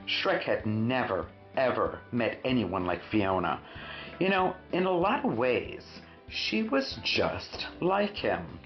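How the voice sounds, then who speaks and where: A man reading a children's storybook expressively, with dramatic emphasis and drawn-out words. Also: medium pitch, big pitch swings. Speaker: storyteller, host of a read-aloud show. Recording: roomy, muffled sound with faint music.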